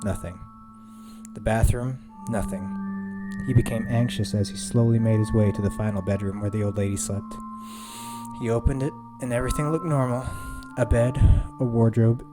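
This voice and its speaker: A man reading a scripted horror story in a measured, suspenseful way, with dramatic pauses. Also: mid-range voice. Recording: music behind the voice. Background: eerie background music.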